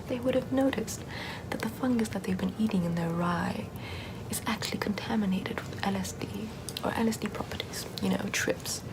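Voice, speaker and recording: A woman playing a sardonic witch healer in an ASMR roleplay, soft-spoken and often whispering in a conversational way. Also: mid-range voice, close to an everyday voice, poor audio. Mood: scornful, exasperated. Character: sardonic Puritan-era witch, chatty, scornful yet soothing healer. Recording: clear background ambient noise.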